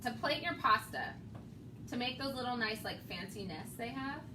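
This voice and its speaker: A woman's voice: on the high side, expressive, normal speed, background noise. A woman hosting a casual cooking show, explaining each step and laughing often.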